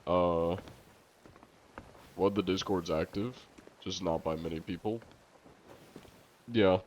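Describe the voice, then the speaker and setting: A man chatting casually to viewers on a live game stream, congested and coughing now and then, chatty and quick to laugh. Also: low voice, steady tone, a little mellow, slight background noise.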